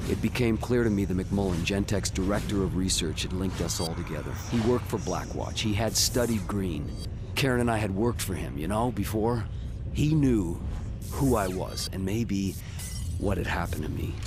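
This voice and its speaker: Actor as a low-voiced brooding male antihero. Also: noisy background, lightly acted. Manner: grave, terse, deliberate. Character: brooding male antihero recounting his past. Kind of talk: scripted dramatic game narration. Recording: music playing behind the voice.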